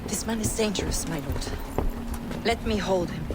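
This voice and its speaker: A woman voicing a zealous, scheming paladin, formal, measured and coolly deferential, in a video game drama. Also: on the low side, animated, heavy background noise, natural voice. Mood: cold, urging. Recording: music under the voice.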